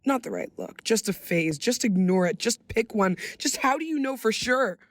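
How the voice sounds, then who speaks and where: A woman reading a dramatic monologue in an earnest, deliberate and emphatic way. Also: a little high, lively intonation, dry recording, studio quality. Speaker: monologue reader.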